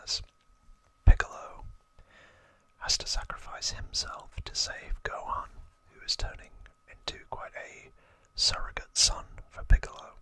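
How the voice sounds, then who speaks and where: Slow, bright ASMR whisper. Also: dry recording, rough recording. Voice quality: breathy unvoiced whisper. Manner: casual, informal explaining. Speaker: ASMR host. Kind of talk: whispered ASMR trivia narration.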